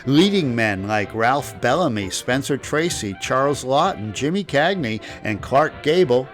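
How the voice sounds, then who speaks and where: A man's voice: medium pitch, lively intonation, unhurried, faint music behind. A man presenting scripted documentary narration.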